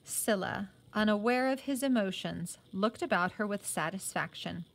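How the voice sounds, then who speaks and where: A woman's voice: medium pitch, normal speed, creaky. A woman reading a novel aloud as a teacher would, with short explanatory asides.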